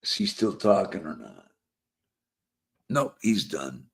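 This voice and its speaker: A man hosts a live chat show while losing his voice, so his low voice comes out rough and gravelly. He rambles along at an easy, slow pace, reading viewer comments aloud. His pitch swings widely as he talks. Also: sing-song. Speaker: host.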